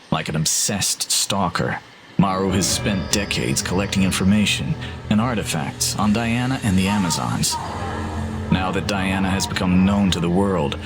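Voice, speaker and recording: A man narrating a scripted story dramatically, with solemn, deliberate phrasing. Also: deep voice. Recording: loud music under narration. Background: loud music.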